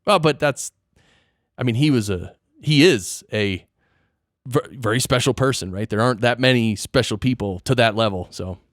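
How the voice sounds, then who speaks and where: Guy hosting a podcast, casual chat, middle pitched voice, super dry studio sound. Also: moderate pace, dry recording. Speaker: podcast host.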